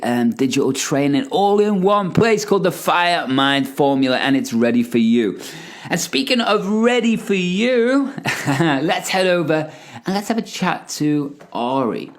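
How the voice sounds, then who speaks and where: A man hosting a podcast, talking with enthusiastic, hype-driven energy and calling his guest "mate". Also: medium pitch. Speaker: podcast host. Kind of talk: energetic podcast intro and interview.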